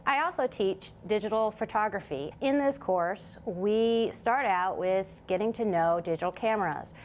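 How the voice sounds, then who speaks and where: A woman's voice: medium pitch, moderate pace, a little hiss or hum. A woman speaking as a college instructor, giving an introductory presentation to camera. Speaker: college digital design instructor.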